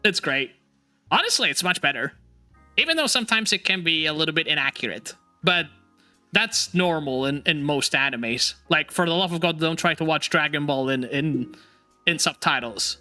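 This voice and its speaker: A man with a high, bright voice hosts a relaxed chat stream and laughs easily between remarks. His English is a second language, and his delivery is animated and teasing. Soft music sits under his voice. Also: normal speed. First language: not English. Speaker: streamer host. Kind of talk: casual chat.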